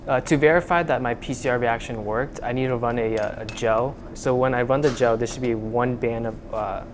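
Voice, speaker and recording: A young man from Vietnam speaks earnestly about his lab work, circling back through fillers and restarts. His pitch sits mid-range. A steady air-conditioning hum runs underneath the recording. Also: fairly quick. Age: young adult. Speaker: student researcher. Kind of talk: casual interview. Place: lab room.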